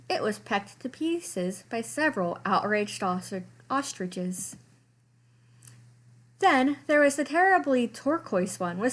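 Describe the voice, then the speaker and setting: A woman's voice: a little high, fairly bright tone. Woman reading a picture book expressively. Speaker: librarian reading storytime.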